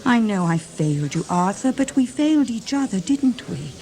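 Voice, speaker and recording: An actress playing a needy, manipulative, volatile wife in a radio drama, theatrical, shifting from wheedling to barbed. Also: female voice, medium pitch, sing-song, normal speed, darkish tone. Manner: theatrical, wheedling, turning from breathy coaxing to barbed.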